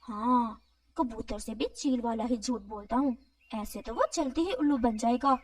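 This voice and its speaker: A woman's voice: fairly high pitch, normal speed, husky, distant mic. A woman voicing a sly, greedy male crow in a children's cartoon, with a wheedling, pleading manner. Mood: scheming. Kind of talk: animated children's moral story dialogue.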